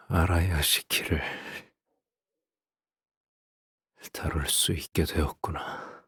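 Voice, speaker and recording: An actor voicing a controlling, world-weary father and menacing swordsman in a video game, husky and deliberate, speaking with ominous softness. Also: sits low, no room sound, clean studio sound, full character voice. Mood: quiet, weary. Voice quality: husky, breathy. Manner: slow, deliberate, ominously soft-spoken. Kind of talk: dramatic game character voice lines.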